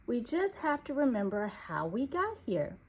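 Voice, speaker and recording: A woman's voice: fairly high pitch, sing-song, normal speed, slightly rough, exaggerated voice. A woman voicing a caring, watchful mother deer who speaks soothingly in a children's storybook. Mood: soothing, encouraging. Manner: gentle, soothing. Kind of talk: children's storybook read-aloud.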